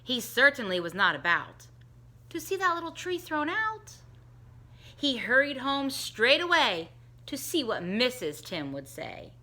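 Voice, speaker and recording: A woman reading a rhyming picture book aloud to children in a slow, sing-song, expressive way, giving the characters their own voices. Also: high voice. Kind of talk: storybook read-aloud for children.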